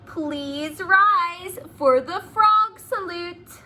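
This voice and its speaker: A woman voicing a silly, cheerful schoolteacher from a picture book, announcing in drawn-out, teacherly tones. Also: very high-pitched, bright, forceful tone, voice pushed up, exaggerated voice.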